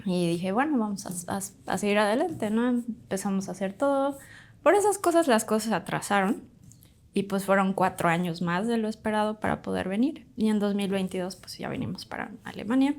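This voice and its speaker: A woman in her thirties, originally from Bolivia, speaks as a doctor and podcast guest. Her delivery is chatty and animated, full of quick asides and fillers. Her voice sits at an ordinary middle pitch. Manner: chatty, animated, fond of "pues" and "literal". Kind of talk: casual interview storytelling.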